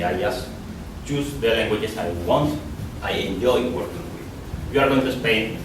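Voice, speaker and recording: Spanish accent guy giving a talk, kinda low voice, super echoey far-off hall sound. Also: background noise. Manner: casual, repeats and restarts words, rambling asides. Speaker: conference speaker. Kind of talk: conference talk. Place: lecture hall.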